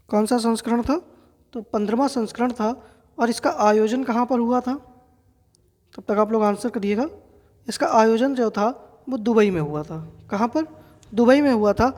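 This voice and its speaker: A woman's voice: on the high side, darkish tone. A woman with an Indian accent teaching an exam quiz lesson, didactically repeating key facts and posing questions to her students. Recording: long reverberant hall-like echo.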